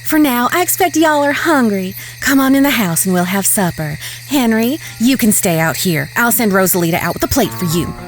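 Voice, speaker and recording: A woman playing a proud, sharp-tongued ranch woman in a western audio drama, composed and stern. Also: on the high side, expressive, normal speed, hardly any pauses, over music, natural voice.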